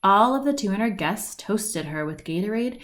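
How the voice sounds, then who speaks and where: A woman reading a children's picture book aloud, with deliberate phrasing, stretched words and lilting lists. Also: medium pitch, lively intonation, a bit bright. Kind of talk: read-aloud storybook narration. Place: indoors at home, at a wooden table by a window.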